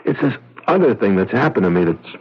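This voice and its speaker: An actor playing a blunt, agitated husband, a driven and haunted talent agent, in old-time radio drama style. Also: male voice, on the low side, very animated, fast talker, husky, exaggerated voice. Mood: haunted, pleading. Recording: dull vintage radio recording.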